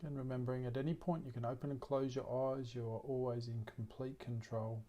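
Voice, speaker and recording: A man guides a meditation in a middle-pitched voice that stays flat and steady. His delivery is gentle and unhurried. He leaves long pauses between short phrases, as a teacher leading a practice.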